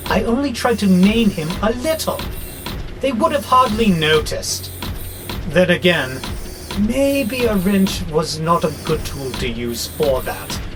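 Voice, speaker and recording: A man acts out a grumpy, war-hungry warehouse robot for an audio drama. The voice sits fairly high for a male and swings widely, yet the words come slowly, sardonic and exasperated, with music underneath. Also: exaggerated voice. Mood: indignant.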